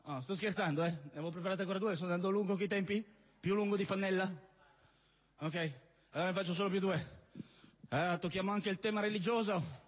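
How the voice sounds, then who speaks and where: Male stage poet-comedian, high voice, theatrical declamation with sudden spoken asides. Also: steady tone, brisk, long pauses. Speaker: stage poet and comic performer. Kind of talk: declaimed satirical spoken-word performance for a live audience. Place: live stage at a party show.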